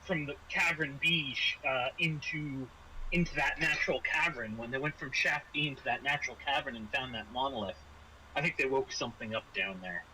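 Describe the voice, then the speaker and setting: A man acting as game master, narrating a tabletop role-playing game in a halting, improvised way that repeats phrases. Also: mid-range voice. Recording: home recording with clear background noise.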